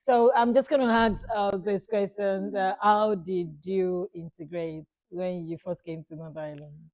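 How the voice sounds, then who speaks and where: A woman from Nigeria hosting a podcast panel, speaking casually and hesitantly, repeating herself and filling pauses often. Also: mid-range voice, steady tone, a little mellow, rough recording. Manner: repetitive, hesitant with many fillers. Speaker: podcast host.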